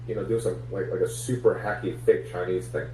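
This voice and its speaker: A man's voice: deep voice, fairly even intonation, a little fast, dark tone. A man, a comedian guest in a casual interview, talking things through reflectively with many fillers. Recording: echoey room, distant mic, faint hum.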